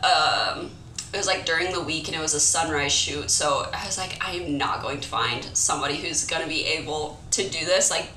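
A young woman co-hosting a podcast in a relaxed, chatty way, often laughing and saying "like". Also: deep voice, moderate pace. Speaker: podcast co-host. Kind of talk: casual chat. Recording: echoey room with faint noise.

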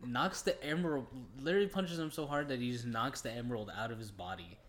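A man's voice: medium pitch, fast talker, fairly bright tone, roomy sound. A man co-hosting a casual movie discussion, speaking in an offhand, slangy and profane way. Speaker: podcast co-host.

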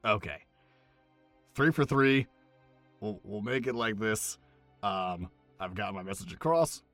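A man's voice: fairly low pitch, expressive, slow pace, a bit bright, no echo, faint music behind. A man playing an awkward, apologetic boyfriend leaving a rambling voicemail in an audio drama. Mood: sheepish, flustered. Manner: rambling, apologetic, self-conscious. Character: rambling, awkward, superstitious sports fan boyfriend.

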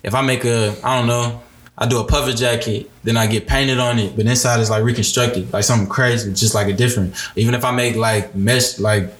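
A man's voice: mid-range voice, steady tone, a little fast. A twenty-year-old man, a podcast guest, chatting casually and rambling with lots of "like". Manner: loose, rambling, heavy use of "like". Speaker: guest musician and designer. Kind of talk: casual interview chat. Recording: roomy echo.

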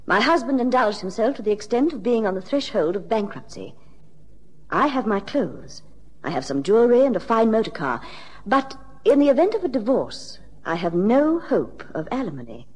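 An actress playing a poised, bitter upper-class wife in a 1960s radio drama, with husky, precise and haughty formal speech. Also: female voice, fairly high pitch, very animated, normal speed, darkish tone.

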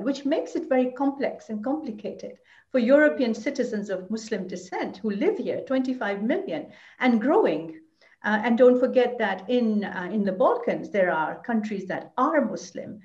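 A woman's voice: mid-range voice, a little mellow. Woman commentator, roomy dull audio. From Belgium. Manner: thoughtful, many fillers and restarts. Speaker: EU commentator, interview guest. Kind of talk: conversational interview answers.

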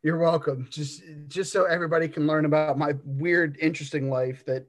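A man in his twenties co-hosting a sports podcast, giving takes with dry humor and thinking out loud. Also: fairly high pitch, steady tone, a little fast. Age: 20s. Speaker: podcast co-host. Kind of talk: casual sports podcast chat.

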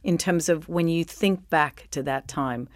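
A woman's voice: sits low, a little slow, no room sound. Woman podcast host, close dry sound. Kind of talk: podcast interview with a scripted introduction.

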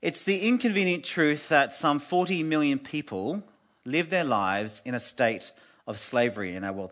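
A man with a loud voice, preaching an earnest sermon in a church and dropping to a hush on solemn points. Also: mid-range voice. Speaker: guest preacher from an anti-slavery group.

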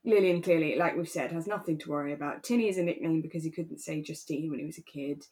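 Female podcast co-host, lowish register, informal and expressive, reviewing drama with a friend. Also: quick pace. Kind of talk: casual chat reviewing a radio drama.

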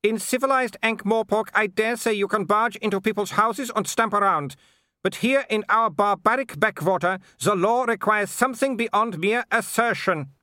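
Man voicing a high, haughty werewolf baroness. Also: normal speed, no echo, clean studio sound. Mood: icy disdain. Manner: sharp, clipped, snapping delivery. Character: haughty aristocratic older werewolf baroness, a mother, sharp and scornful.

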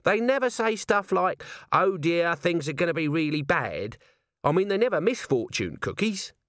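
A man voicing a lowly, naive male corporal in an audiobook, chatty and colloquial, with a streetwise grumble. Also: sing-song, moderate pace, fairly bright tone, dry recording, clean studio sound. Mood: chatty, puzzled. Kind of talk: audiobook character voice.

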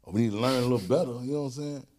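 A middle-aged man chatting casually as a podcast host, telling animated stories and laughing often. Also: mid-range voice, racing. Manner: animated storyteller, loud bursts when quoting himself, frequent laughter. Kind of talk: casual chat, storytelling.

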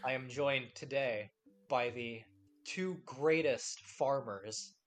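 A man's voice: medium pitch, slow. A man hosting a podcast in a casual chat, rambling and playful with lots of restarts. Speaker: podcast host.

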